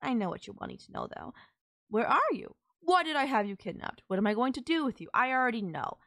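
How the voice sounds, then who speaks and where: Creaky-voiced possessive female kidnapper played by a woman. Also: on the high side, sing-song, quick pace, fairly bright tone, no room sound, studio quality. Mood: gloating, possessive. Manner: teasing, coaxing, controlling. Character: sultry, possessive, gloating female kidnapper obsessed with her captive. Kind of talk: intimate roleplay audio drama.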